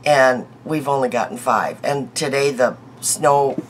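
A middle-aged woman vlogging her daily makeup in a small bedroom, chatty and homey, her voice hoarse from a cold. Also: a bass voice, measured pace, slight background noise, rough recording. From United States. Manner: chatty, homey, laughs easily, trails off. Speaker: beauty vlogger. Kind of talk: casual daily makeup chat to camera.

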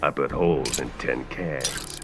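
A man playing a gruff mercenary fighter in a video game, dry and terse. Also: a bass voice, measured pace, loud music behind the voice, heavily acted. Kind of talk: video game character dialogue.

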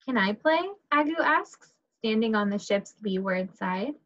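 A woman's voice: medium pitch, lively intonation, measured pace, a bit bright. A woman teacher reading a picture book aloud to young children over a video call. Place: indoors, on a group video call. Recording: dull, muffled video call audio.

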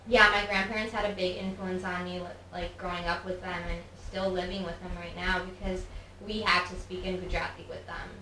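A woman's voice: fairly low pitch, flat delivery, a little fast, bright, forceful tone, roomy sound, distant mic, slight background noise, low-quality recording. A young woman guest in a casual interview, talking chattily with lots of "like" fillers. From United States. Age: young adult. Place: studio with office chairs.

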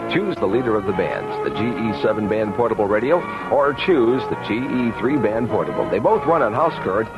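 A male announcer delivers a scripted radio advert in a lowish, very animated voice. Loud music plays behind him, and the vintage recording is somewhat dull. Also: brisk. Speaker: commercial announcer.

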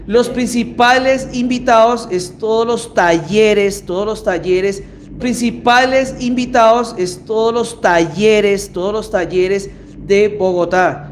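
High-voiced Colombian man, echoey workshop. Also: unhurried. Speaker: host. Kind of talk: casual live-stream talk, announcing a championship. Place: small motorbike workshop, indoors. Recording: echoey room with clear background noise.

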